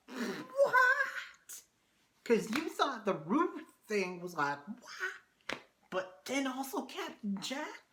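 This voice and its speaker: Man, hoarse and fading voice, bright tone, excitable, casual recap, swears a lot. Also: sits high, a little hiss or hum. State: hoarse, losing his voice. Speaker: TV review vlogger. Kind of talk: casual episode recap review.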